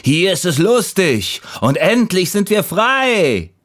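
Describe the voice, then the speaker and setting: A man's voice: high-pitched, sing-song, takes it slowly, a bit bright, voice pushed up, full character voice. A man voicing playful, carefree autumn leaves in a children's story. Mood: coaxing.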